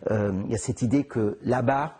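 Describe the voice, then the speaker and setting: A middle-aged man from Switzerland, answering interview questions in a halting way, with many restarts and fillers. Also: medium pitch, mellow, slightly rough. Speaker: interviewed author. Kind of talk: conversational interview.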